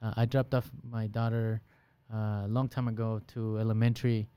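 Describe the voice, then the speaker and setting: A middle-aged man hosting a conversation, relaxed and affirming as he listens and speaks. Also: fairly low pitch, normal speed, clear, resonant tone, no room sound. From Guatemalan background. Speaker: podcast host. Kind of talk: casual conversation.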